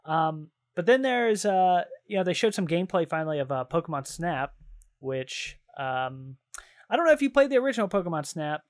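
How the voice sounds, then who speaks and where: A man hosting a gaming podcast, talking casually in a loose, halting conversational way. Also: a little high, big pitch swings. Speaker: podcast host.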